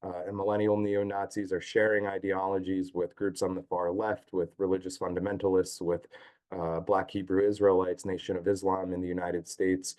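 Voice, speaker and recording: A hoarse man giving a talk over a video call, halting with many fillers. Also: low voice, little pitch movement, a little mellow. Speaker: guest speaker, advocacy group staff chief. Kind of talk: online talk.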